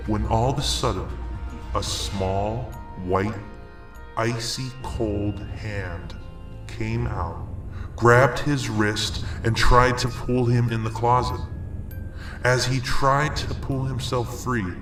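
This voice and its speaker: A middle-aged man narrating a scary story in a deliberate, suspenseful, dramatic way. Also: medium pitch, steady tone, a bit bright. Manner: slow, deliberate, suspenseful delivery. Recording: roomy echo, music underneath. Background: music.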